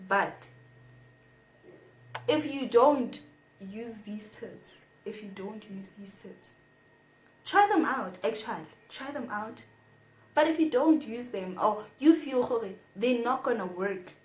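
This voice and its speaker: Slow, chatty woman. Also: mid-range voice. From South Africa. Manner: chatty and playful, reads from notes. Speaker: vlogger. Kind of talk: casual advice vlog. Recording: echoey room.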